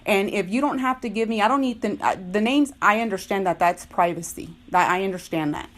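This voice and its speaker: A woman's voice: medium pitch, brisk. Insistent middle-aged woman pressing a complaint. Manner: insistent, firm, repeats herself. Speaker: parent making a complaint. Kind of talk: tense phone conversation, disputing.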